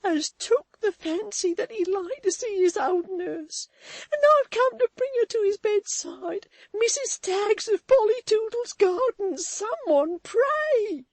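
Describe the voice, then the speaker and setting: A reader voicing a frantic young female servant who wails and pleads in a shrill, tearful voice. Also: slow, hardly any pauses, darkish tone, dry recording. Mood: frantic wailing. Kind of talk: audiobook character voice.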